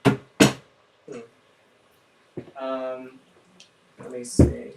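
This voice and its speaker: A man's voice: medium pitch, sing-song, very slow. A man giving casual live cooking instruction as a chef, thinking aloud in an informal way. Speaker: chef leading a cook-along. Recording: roomy, distant mic, faint noise.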